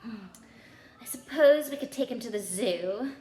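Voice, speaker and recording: A woman's voice: on the high side, animated, measured pace, warm tone. A woman voicing a fussy, snappish middle-aged aunt in a storybook. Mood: grudging. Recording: echoey room with background noise.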